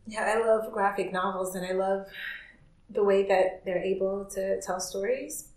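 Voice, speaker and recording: A woman host interviewing a guest in a relaxed, reflective way. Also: mid-range voice, a little slow. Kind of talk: casual interview chat. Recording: echoey room.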